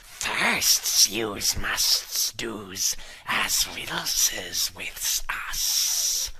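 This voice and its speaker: A man voicing a greedy cave creature who talks in a slow, creeping whisper and hisses his plurals. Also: on the low side, big pitch swings, bright, forceful tone, voice pushed down, heavily acted. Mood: creepy, sly.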